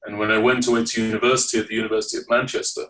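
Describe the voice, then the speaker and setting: A man's voice: fairly low pitch, level tone, fairly bright tone, distant mic, rough recording. A man from England with a Leeds accent, giving formal, carefully worded answers as a guest in a podcast interview. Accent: Northern English, Leeds. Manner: measured, formal, articulate.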